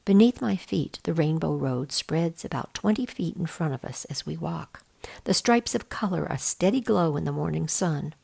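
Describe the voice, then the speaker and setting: Expressive woman reading a storybook, low and creaky. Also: normal speed. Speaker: volunteer reader of a children's book.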